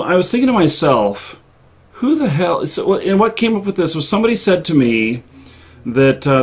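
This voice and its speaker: Male livestream host, average pitch, casual gamer chat with a hesitant, stop-start delivery.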